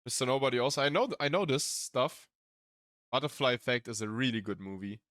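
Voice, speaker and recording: A man with a German accent chatting casually as a streamer reacting to a video, pausing to search for English words. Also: medium pitch, a bit bright, dry recording, studio quality. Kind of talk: casual live-stream chat.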